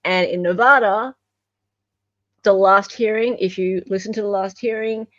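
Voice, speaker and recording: A woman's voice: mid-range voice, fairly even intonation, moderate pace, fairly bright tone, no room sound, dull audio. A woman with an Australian accent hosting an online show, giving casual, emphatic commentary and repeating phrases for emphasis. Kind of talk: casual commentary on court documents and recordings.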